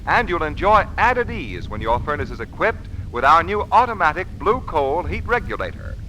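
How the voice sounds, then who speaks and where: Bright, lively vintage radio pitchman. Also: normal speed, poor audio. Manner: polished, emphatic salesman delivery. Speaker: radio announcer. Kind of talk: scripted vintage radio advertisement. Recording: hissy vintage radio recording.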